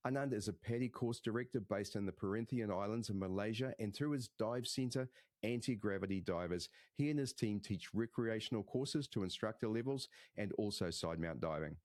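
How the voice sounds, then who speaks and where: A man hosting a diving podcast, reading a scripted introduction and then chatting with a guest in a measured, friendly way. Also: on the low side, a little mellow, no echo, clean studio sound. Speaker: podcast host.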